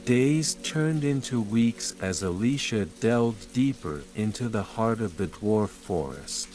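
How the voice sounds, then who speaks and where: A man narrating a scripted bedtime fairytale in a measured, soothing manner. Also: lowish voice. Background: rain and calm music.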